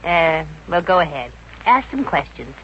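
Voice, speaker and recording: A woman's voice: low-pitched, sing-song, a little slow, slight background noise. Wisecracking working girl, muffled old radio. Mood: deadpan, sly. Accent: American. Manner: sardonic, breezy wisecracking. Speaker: lead actress in radio comedy. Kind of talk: 1950s American radio comedy acting.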